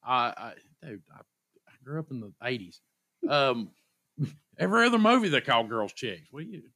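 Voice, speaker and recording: A man in his forties with an Eastern Kentucky accent co-hosts a podcast. His voice is bright and forceful, and he keeps cutting in with dry jokes. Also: mid-range voice, lively intonation, normal speed. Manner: dry joking interjections. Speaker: podcast co-host.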